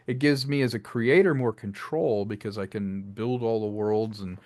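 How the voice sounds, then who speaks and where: Animated man talking about his work. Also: lowish voice, normal speed. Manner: animated, slips into voiced quotes, laughs between thoughts. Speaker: director and writer discussing his audio drama. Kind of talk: casual interview chat.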